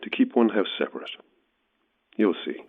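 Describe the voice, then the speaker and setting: An actor playing an eerie, matter-of-fact male caller with a husky voice over a telephone filter in a horror audio drama. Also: lowish voice, big pitch swings, moderate pace, heavily acted. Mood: cold, insistent. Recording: narrow, tinny phone line.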